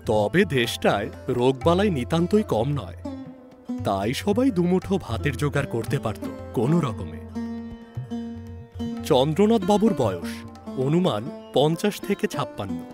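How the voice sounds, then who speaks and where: A man's voice: on the high side, big pitch swings, a little slow, music in the background. A man reading a scripted story aloud as a narrator, in a dramatic audiobook style.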